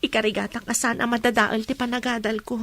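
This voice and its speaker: A woman's voice: on the high side, level tone, quick pace, fairly bright tone. A woman with a Filipino accent playing a grieving, stubbornly hopeful young woman in a radio drama. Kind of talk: Ilocano radio drama acting.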